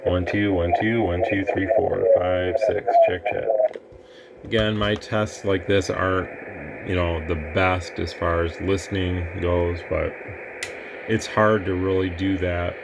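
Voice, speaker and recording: A deep, gravelly-voiced man talks through a radio test in a loose, rambling way. His pitch stays flat as he counts into the mic. A clear hiss of noise sits behind him in the workshop. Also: a little slow. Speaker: radio shop owner testing gear. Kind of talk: casual product demo.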